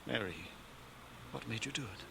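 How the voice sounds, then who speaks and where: An actor playing a grave, pained small-town husband in a radio drama, speaking with quiet restraint. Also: male voice, mid-range voice, moderate pace, gravelly, close to an everyday voice. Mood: pained, stern. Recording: noisy old cassette of radio drama.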